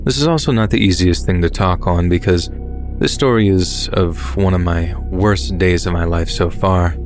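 A man's voice: sits low, darkish tone. A man reading a scripted true horror story as its narrator, over background music. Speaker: narrator of listener-submitted true stories. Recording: music bed under the voice.

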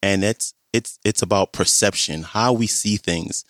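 A hoarse man hosting a podcast, with a very low voice. He chats in a relaxed way as he introduces the show. The recording is dry and close. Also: a little slow, no room sound. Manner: relaxed, chatty, friendly greetings. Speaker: podcast host.

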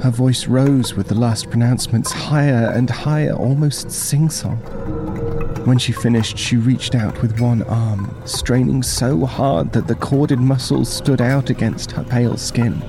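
A man reading a first-person horror story as dramatic, measured scripted narration. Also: medium pitch, steady tone, over music.

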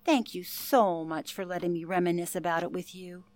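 A woman playing a gracious elderly lady in a radio play, speaking politely and gently as she looks back. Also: fairly low pitch, moderate pace, natural voice. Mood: gracious, warm. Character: gracious elderly woman reminiscing about a night in 1938.

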